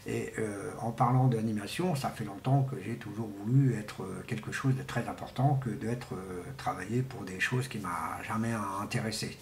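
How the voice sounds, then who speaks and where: A man giving an informal, rambling monologue to viewers, speaking haltingly and often repeating words and restarting. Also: fairly low pitch. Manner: often clears throat. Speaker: vlogger. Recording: echoey room with faint background noise.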